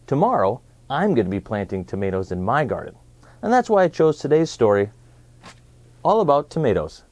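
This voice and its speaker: A man hosting a children's read-aloud, presenting an educational book in clear, simple phrases for young listeners. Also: mid-range voice, huge pitch swings, a little fast, a little mellow, dry recording.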